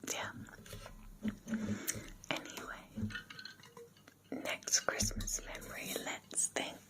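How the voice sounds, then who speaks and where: A young British woman whispering personal memories in a soft, rambling, sentimental ASMR style with many ums. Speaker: ASMR creator.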